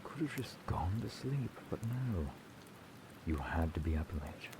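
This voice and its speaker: A man playing a gruff, grumbling but reluctantly kind fantasy town blacksmith in a slow, dry ASMR roleplay. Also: sits low, heavy background noise. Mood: muttering, weary. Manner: curt, grumbling, dry, wordy sarcasm. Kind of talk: ASMR roleplay, slow scripted character acting. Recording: steady rain sound behind the voice. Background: rain falling.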